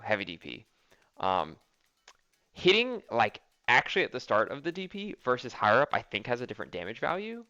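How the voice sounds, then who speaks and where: A man's voice: on the low side, pushed and bright, no room sound, muffled recording. A young man casually commenting on replays of his own fighting game match, thinking aloud with lots of ums and likes.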